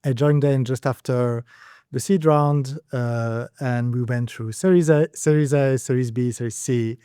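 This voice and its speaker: Mellow-voiced man from Belgium. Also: mid-range voice, steady tone, a little slow, smooth, no echo, studio quality. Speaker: co-founder, podcast guest. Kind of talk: casual conversational podcast chat.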